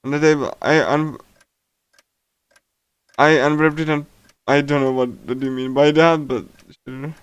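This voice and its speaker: Male streamer, smooth clean tone, close dry sound, casual commentary and stumbling read-aloud with repeated words. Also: fairly high pitch, fairly even intonation, no room sound. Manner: halting, repeats and stumbles while reading. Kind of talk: casual game livestream commentary and reading aloud. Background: game music.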